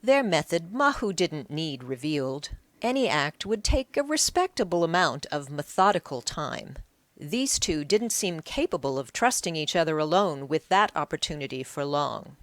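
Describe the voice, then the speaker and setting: A woman's voice: deep voice, very animated, normal speed, dry recording. A woman narrating an audiobook in a dramatic, scripted storytelling style.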